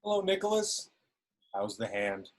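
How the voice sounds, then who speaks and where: A man's voice: lowish voice, big pitch swings, unhurried. Guy reading a cheery shifty sergeant, keeps stumbling and restarting. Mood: jovial. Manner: hesitant, lots of ums and restarts. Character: jovial, evasive male police sergeant.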